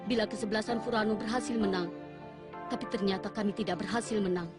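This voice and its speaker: A woman's voice: mid-range voice, fairly even intonation, fast talker, close to an everyday voice. A woman voicing an earnest, tender boy football player in a dubbed cartoon. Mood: wistful, earnest. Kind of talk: reflective dubbed cartoon monologue. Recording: loud music under the voice.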